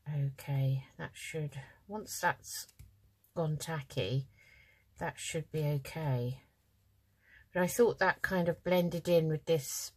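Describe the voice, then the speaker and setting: A woman with a low, slightly croaky voice talks through a craft project. She is chatty and informal, thinking aloud as she works at her table. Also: darkish tone, a little hiss or hum. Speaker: craft channel host. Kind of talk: casual craft tutorial, talking while working.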